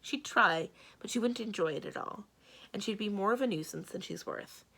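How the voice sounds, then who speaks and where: A woman voicing a headstrong, capable young princess in a storybook, brisk and self-assured, quick to retort. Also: mid-range voice, sharp tone, husky. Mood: frank, amused. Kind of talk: storybook read-aloud.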